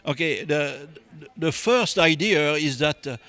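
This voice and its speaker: A man's voice: on the high side, animated, a little slow, pushed and bright. French-accented man, hesitant professor. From France. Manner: hesitant, many fillers. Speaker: viticulture professor. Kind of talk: conference interview.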